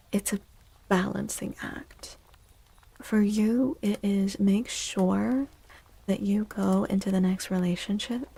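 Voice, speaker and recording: A woman hosts an ASMR tarot stream in a soft, near-whispered voice. Her delivery is slow and gentle, with a dark, relaxed tone and plenty of creak at the edges. She sounds reassuring and speaks close to the microphone. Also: mid-range voice. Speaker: livestream host and tarot reader.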